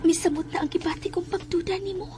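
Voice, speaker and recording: A woman's voice: very high-pitched, fairly even intonation, quick pace, heavy background noise. A woman playing an anxious, suspicious teenage schoolgirl who stammers and questions in a horror audio drama. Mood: uneasy, defensive.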